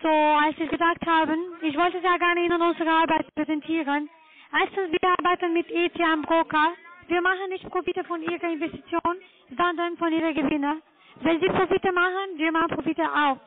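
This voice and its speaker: A woman with a foreign accent giving a halting, formal sales pitch as a telemarketer on the phone. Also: steady tone. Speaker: telemarketing caller, trading broker. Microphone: telephone call. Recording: tinny narrow-band phone line.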